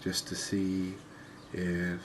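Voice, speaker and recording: A man giving relaxed, unhurried ASMR-style commentary on a video game, musing conversationally as he goes. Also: sits low. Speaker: gaming video host. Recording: clearly noisy background ambience.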